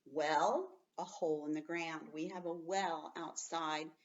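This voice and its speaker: Low-voiced woman teacher explaining to children. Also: fairly even intonation, slow pace. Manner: explanatory, simple wording, comments on the story as she goes. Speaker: schoolteacher. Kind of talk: read-aloud lesson for children, with explanations. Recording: dull sound, distant from the mic.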